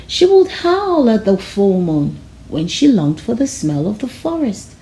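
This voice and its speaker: A woman narrating a children's storybook aloud in a measured, expressive storytelling manner. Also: medium pitch, poor audio. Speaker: storybook reader.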